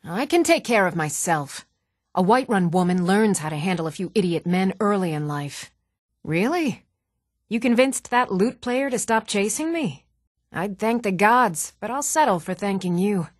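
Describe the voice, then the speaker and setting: A woman's voice: mid-range voice, animated, moderate pace, dry recording, clean studio sound, lightly acted. A woman playing a proud, self-reliant townswoman in a fantasy video game, assertive and scornful. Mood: scornful, confident.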